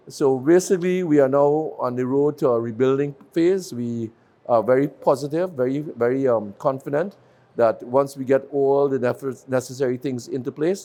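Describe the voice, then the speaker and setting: Caribbean guy, warm dark voice, rambles and restarts a lot. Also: mid-range voice. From Guyana. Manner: rambling, with frequent restarts and fillers. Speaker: businessman interviewee. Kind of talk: interview answers.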